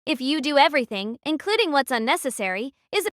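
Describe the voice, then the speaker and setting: A woman's voice: high-pitched, very animated, quick pace, fairly bright tone, no room sound, clean studio sound. A woman voicing a young female squad captain who gives firm, decisive orders in an audio drama. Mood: commanding. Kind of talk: fan audio drama acting.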